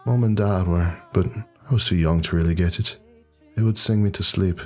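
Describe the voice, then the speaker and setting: A man playing a gruff, sardonic, world-weary hard-drinking rebel in a fan audio drama. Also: low voice, moderate pace, darkish tone, soft background music, lightly acted. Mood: somber, reflective. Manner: sardonic, blunt.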